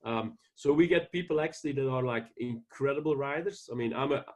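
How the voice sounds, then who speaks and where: A man with a Belgian accent speaks in an interview at a middle pitch. His talk is earnest and halting, full of fillers and restarts as he explains. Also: a little mellow. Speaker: guest ranch owner. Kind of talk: casual interview.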